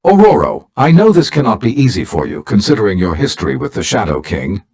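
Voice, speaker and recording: A man's voice: on the low side, sing-song, gravelly, darkish tone, husky, heavily acted. A man acting a dignified, formal older mentor who leads a team of heroes in a video game. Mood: encouraging. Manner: formal, measured, grave.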